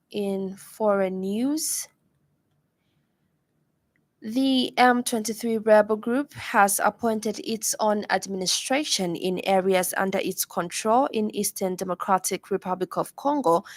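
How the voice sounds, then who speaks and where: A Zambian woman presents a radio news bulletin from a script. Her voice sits at a middle pitch, and the studio sound is close and dry. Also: takes it slowly, no room sound.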